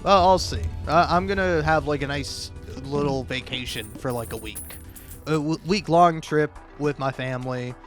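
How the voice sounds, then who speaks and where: An American man with a slightly high voice chats off the cuff over gameplay. He hesitates, stammers and doubles back on his words in a self-deprecating way. Music plays behind him. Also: normal speed, fairly bright tone. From Florida, United States. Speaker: gaming video creator. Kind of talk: casual rambling chat over gameplay.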